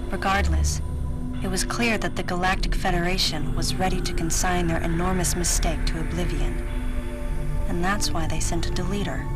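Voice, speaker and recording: An actress playing a stoic, reserved female bounty hunter in a video game, speaking in a measured, deliberate, analytical way. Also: fairly low pitch, lightly acted. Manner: measured, deliberate, restrained delivery. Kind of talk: video game cutscene dialogue and inner-monologue narration. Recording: studio voice with loud music.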